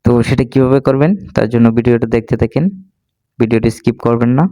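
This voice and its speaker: Flat, mellow man giving a tutorial. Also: medium pitch, fast, no echo. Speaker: tech tutorial host. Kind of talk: step-by-step screen tutorial narration.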